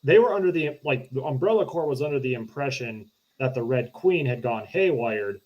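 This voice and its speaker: A man's voice: medium pitch, expressive, moderate pace. A man on a podcast panel, informally explaining points in a casual film discussion, through slightly dull lo-fi sound.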